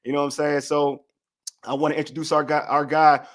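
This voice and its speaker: A man's voice: on the high side, flat delivery, fairly quick. A man, hosting a casual sports podcast over a video call and talking in loose, slangy repeats. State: hoarse from a cold. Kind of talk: casual sports talk show.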